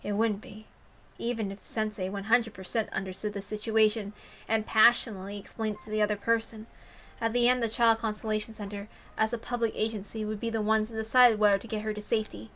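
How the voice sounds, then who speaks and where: A woman's voice: mid-range voice, level tone, a little fast, fairly bright tone. A woman reading a story aloud expressively, with casual commentary of her own. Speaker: reader and commentator.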